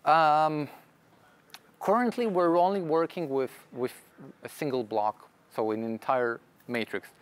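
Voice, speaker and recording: A man's voice: a little high, measured pace, smooth. A man whose first language is Hebrew, giving a technical conference talk and answering audience questions, explaining step by step with frequent restarts. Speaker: conference speaker.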